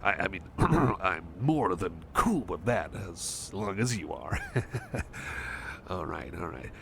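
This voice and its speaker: Man playing a shy, stammering, low-voiced cyborg pal. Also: big pitch swings, slow, gravelly, noisy background, full character voice. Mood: shy, reassuring. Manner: hesitant, stammering, repeats phrases, checks on listener. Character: shy, eager, reassurance-seeking male cyborg friend. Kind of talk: ASMR roleplay, personal attention.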